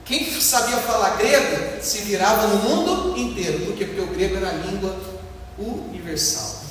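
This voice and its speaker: A man's voice: sits high, animated, normal speed, fairly bright tone. A Brazilian man preaching as a pastor, explaining a Bible passage in a didactic, emphatic way with rhetorical questions and repeated points. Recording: echoey hall, distant mic, faint noise.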